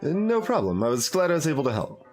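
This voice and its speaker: A man's voice: on the high side, big pitch swings, fast. A man streaming a game, reading the narration aloud expressively and adding casual off-the-cuff commentary. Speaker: streamer doing a blind voiced playthrough. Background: music.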